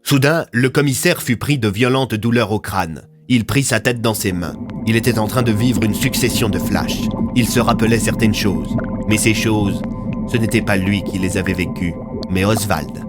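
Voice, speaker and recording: A man's voice: on the low side, normal speed, loud music behind the voice. A man narrating a serialised audio fiction in a scripted, dramatic storytelling style.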